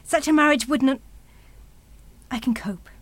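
A woman's voice: high voice, unhurried, dry recording. A woman acting in a radio play as a proud, defensive single mother, guarded and intense in protecting her son.

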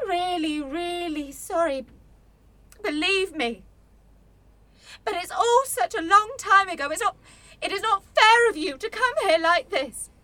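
An actress playing a guarded, blunt young student in a radio drama, speaking in a clipped, defensive way. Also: female voice, very high voice, animated, unhurried, long pauses, sharp tone, no room sound, heavily acted. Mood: defensive, upset. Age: young adult.

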